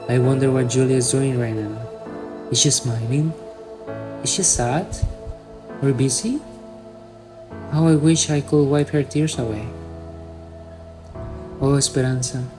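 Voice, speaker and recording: A man's voice: medium pitch, close to an everyday voice. A torn, lovesick young suitor in a student radio play, acted by a man. He speaks, and music plays under his voice. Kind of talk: student radio drama acting.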